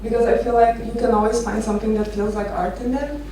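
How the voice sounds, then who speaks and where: A woman in her thirties from Romania gives an informal talk as a photographer, telling personal stories with hesitant, halting phrasing. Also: on the high side, fairly even intonation, mellow. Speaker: architecture photographer giving a conference talk. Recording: echoey noisy venue, distant microphone.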